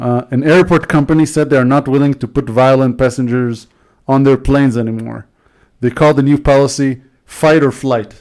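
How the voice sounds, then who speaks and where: A man hosting a podcast in a loose, casual chat, reading jokes aloud and filling pauses with "uh" and "um". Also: medium pitch, darkish tone. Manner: chatty, loose, many fillers. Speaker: podcast host.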